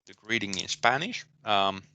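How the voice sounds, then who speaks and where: A man with a Finnish accent giving a recorded programming lecture, pausing often with ums and restarting his sentences. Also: on the low side, animated, bright, forceful tone, no echo. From Finland. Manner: hesitant, many ums and restarts. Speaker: university lecturer.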